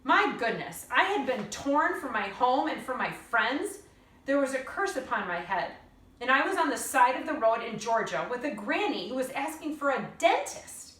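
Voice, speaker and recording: A woman's voice: sits high, very animated, moderate pace, fairly bright tone, pitched above the performer's own voice. A woman reading a children's novel aloud as an earnest, indignant young girl, with emphatic, solemn storytelling. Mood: resolute. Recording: roomy echo, distant mic.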